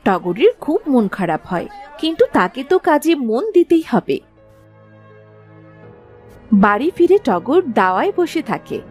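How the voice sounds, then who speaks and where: A woman's voice: fairly high pitch, sing-song, measured pace, long pauses, darkish tone, faint music behind. A woman narrating a scripted cartoon story as the storyteller.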